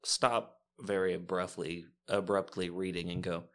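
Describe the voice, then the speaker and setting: A man playing a tabletop game, talking casually and halting as he searches for words. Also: low-pitched, flat delivery. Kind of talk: casual in-character roleplay and chat.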